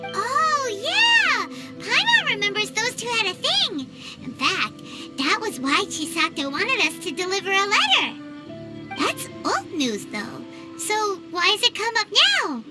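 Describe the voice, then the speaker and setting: A woman voicing a small, childlike fairy companion in a video game, squeaky, bubbly and curious. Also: sing-song, unhurried, heavily acted. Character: small excitable childlike fairy companion, speaks in third person. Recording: loud music behind the voice.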